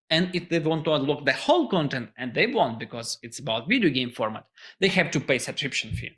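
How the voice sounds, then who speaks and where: A man with a Russian accent, Russian his first language, giving an earnest startup pitch as founder. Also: a bit bright. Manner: earnest, non-native phrasing.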